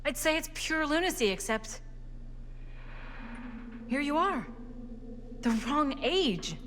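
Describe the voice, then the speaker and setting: A woman playing a tough, skeptical, sharp-tongued character in a video game, in a naturalistic, sardonic way. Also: high-pitched, very animated, slow pace, slight background noise, full character voice. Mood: confrontational, accusing. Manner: blunt, sardonic.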